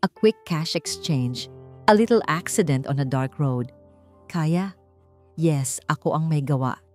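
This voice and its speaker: A woman's voice: low voice, a little slow, darkish tone, faint music behind. A woman voicing a smug, spoiled rich bride who talks in a haughty, sneering, boastful way in a narrated story. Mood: smug, cold.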